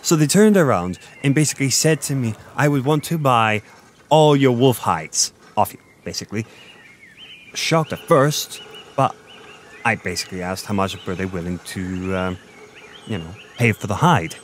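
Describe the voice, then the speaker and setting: A male actor plays a flirty Irish innkeeper and former merchant with a lowish voice. He rambles and boasts in an intimate audio roleplay, pausing to think as he tells his tale. Outdoor ambience with birdsong sits clearly behind him. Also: expressive, slight background noise, natural voice. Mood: proud, animated storytelling. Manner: flirty, chatty, meandering storyteller with thoughtful pauses.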